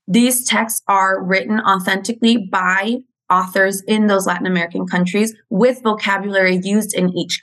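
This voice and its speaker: A woman's voice: medium pitch, fairly bright tone, low-quality recording. A Mexican-born woman teacher earnestly explaining a reading program in an interview. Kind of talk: interview conversation.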